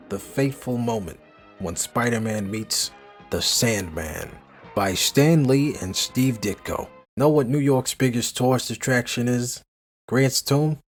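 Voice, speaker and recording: A man narrating a comic book dub from a script in a dramatic, theatrical showman's manner. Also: mid-range voice.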